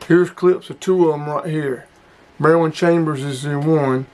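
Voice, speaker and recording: A man's voice: fairly high pitch, steady tone, normal speed, darkish tone. A middle-aged man with a folksy, plain-spoken manner, hosting a casual hobby review vlog. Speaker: hobbyist video host.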